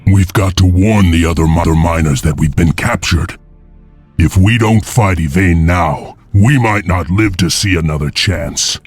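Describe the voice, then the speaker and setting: An actor playing a grim, defiant wolf-clan slave warrior in a video game, with a deep, husky, grave voice. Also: male voice, unhurried. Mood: grim resolve. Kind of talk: video game cutscene acting. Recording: music behind.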